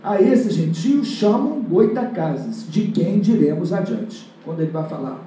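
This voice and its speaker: Older man, lecturer, dark voice, reads old text and comments, distant mic, echoey hall. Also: high voice, animated, unhurried, a little hiss or hum. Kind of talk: lecture reading historical text aloud. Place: auditorium with panel table. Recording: echoey hall recorded from afar.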